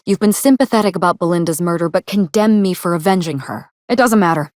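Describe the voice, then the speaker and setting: A woman's voice: fairly low pitch, quick pace, darkish tone, dry recording, close to an everyday voice. An actress playing a sardonic, grieving woman out to avenge her sister in a video game, speaking articulately with dry, controlled sarcasm. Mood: defensive, indignant. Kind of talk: video game dialogue acting.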